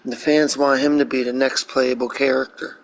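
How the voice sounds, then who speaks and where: A man's voice: medium pitch, level tone, normal speed, low-quality recording. A man giving casual commentary on a video game.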